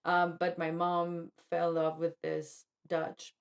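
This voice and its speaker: Colombian woman, low gravelly chatty voice. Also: slow pace. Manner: animated, quotes herself, fillers like "like". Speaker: podcast co-host. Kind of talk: casual conversational storytelling.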